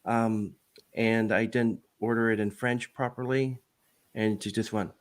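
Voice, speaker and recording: A man's voice: fairly low pitch, steady tone, measured pace. Male podcast host, casual, rambling and hesitant delivery. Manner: rambling, hesitant, full of fillers. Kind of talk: casual interview chat.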